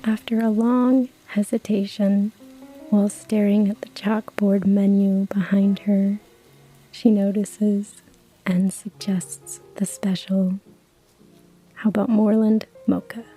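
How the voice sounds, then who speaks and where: A woman narrating a cozy story in a hushed ASMR whisper, with soft laughs. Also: mid-range voice, little pitch movement, slow, husky, soft background music, low-quality recording.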